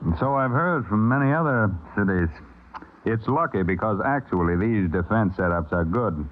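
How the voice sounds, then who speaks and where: An actor playing a pompous, calculating leader of a subversive group in old radio drama style. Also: male voice, lowish voice, expressive, moderate pace, lightly acted. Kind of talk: 1950s radio drama acting. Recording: noisy old narrow-band radio recording.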